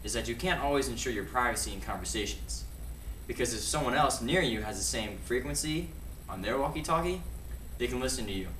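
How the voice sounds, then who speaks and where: A man's voice: mid-range voice, animated, brisk, fairly bright tone. A young man giving an explanatory presentation for an educational video. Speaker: student presenter. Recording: echoey room, distant mic, faint noise.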